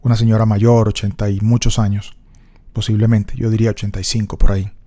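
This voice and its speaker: Colombian man, middle-aged, low warm voice, unhurried reflective storyteller. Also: little pitch movement. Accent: Colombian Spanish. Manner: thoughtful, unhurried storytelling with pauses. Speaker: speaker recording a personal reflection. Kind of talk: reflective spoken monologue.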